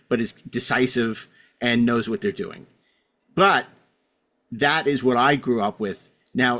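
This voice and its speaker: A man's voice: medium pitch, fairly bright tone. Middle aged guy, podcast host, rambles and says you know a lot, casual chat. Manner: rambling, frequent you-knows. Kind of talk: casual panel discussion.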